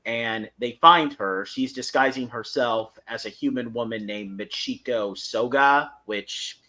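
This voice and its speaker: A man's voice: medium pitch, unhurried, pushed and bright. A man hosting a podcast, giving casual review commentary and recapping step by step in a hesitant way. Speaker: podcast host.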